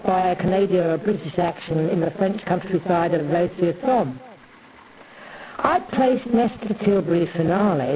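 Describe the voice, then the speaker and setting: An imperious Tudor queen, as a stage performer acts her out, delivers an aggrieved parody monologue. The delivery is pompous, rambling and declamatory, at a middling pitch, with crowd noise clearly behind it. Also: lightly acted, rough recording. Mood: declamatory, solemn. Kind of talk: scripted theatrical parody monologue.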